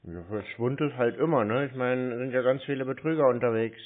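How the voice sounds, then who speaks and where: A man's voice: medium pitch, fairly quick. A man chatting casually on the phone in a dry, curt, offhand way. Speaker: prankster answering scam calls. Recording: tinny narrowband phone line.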